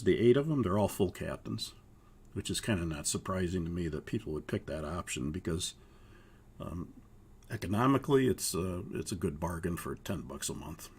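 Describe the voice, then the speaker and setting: An older man with a hoarse, raspy voice from a cold leads an online pilot course. His voice sits low and gravelly. He explains things haltingly and fills his pauses with "uh.". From Minnesota, US. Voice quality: gravelly, husky. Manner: halting, with frequent uhs. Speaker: flight training instructor. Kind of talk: live online course walkthrough.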